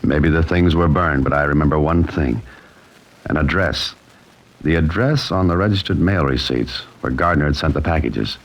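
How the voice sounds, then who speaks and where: An actor playing a wry, cool-headed amateur sleuth, with dry, clipped delivery in the style of an old-time radio mystery. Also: male voice, rumbling low, moderate pace, rough recording. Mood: tense, determined. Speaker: narrator and lead character. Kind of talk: old-time radio mystery acting, hardboiled first-person narration.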